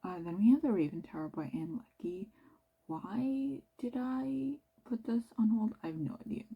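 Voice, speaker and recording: A young Canadian woman with a low-ish voice, chatting quietly and rambling about her reading, with plenty of "like". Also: normal speed, creaky, husky, lo-fi sound. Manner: talking quietly, near-whispered; rambling, filler-heavy with "like". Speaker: book vlogger. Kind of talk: casual chat.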